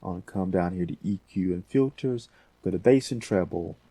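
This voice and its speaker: A man's voice: sits low, a little fast, mellow, husky, dry recording. A man hosting a casual audio editing tutorial, thinking aloud in a folksy, rambling way.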